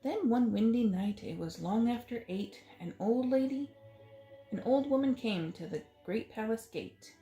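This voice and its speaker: Animated woman reading a children's story. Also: a little high, a little slow. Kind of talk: expressive picture-book read-aloud in rhyme. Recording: roomy echo, faint music.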